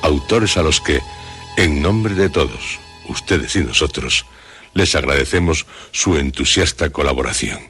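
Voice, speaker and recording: A man with a Castilian Spanish accent presenting a horror radio show in a solemn, suspenseful scripted narration with dramatic pauses. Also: a bass voice, lively intonation. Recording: loud music under the voice. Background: background music.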